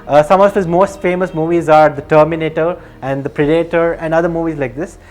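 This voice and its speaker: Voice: mid-range voice, steady tone, a little fast, a little mellow, faint music behind. A video narrator telling a life story off the cuff, hesitant and rambling, often restarting and repeating phrases. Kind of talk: casual unscripted storytelling.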